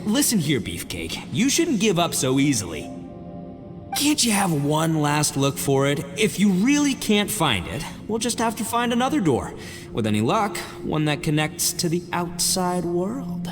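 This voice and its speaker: An actor playing a brash, big-hearted young gang boss in an anime video game, slangy and bombastic. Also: male voice, on the high side, huge pitch swings, measured pace. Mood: boisterous, determined. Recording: echoing long reverb, music behind.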